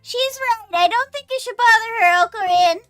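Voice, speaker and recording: A woman's voice: very high voice, moderate pace, pushed and bright, no room sound, faint music behind, pitched above the performer's own voice. A woman voicing a sweet, cheerful, eager young anime girl from a visual novel in a perky, earnest way. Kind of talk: reading visual novel dialogue aloud.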